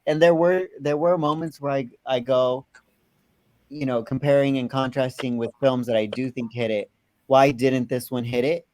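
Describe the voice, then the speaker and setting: A man's voice: mid-range voice, fairly even intonation, moderate pace, a little mellow, dry recording. A man in a casual film discussion, rambling and repeating words as he thinks aloud. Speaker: film review panelist.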